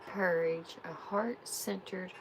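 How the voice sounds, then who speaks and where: Slow, soft-spoken woman tarot reader. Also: on the low side, fairly even intonation, background noise. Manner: soft, unhurried, soothing affirmations. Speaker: tarot reader hosting a live stream. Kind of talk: live tarot reading with spiritual guidance.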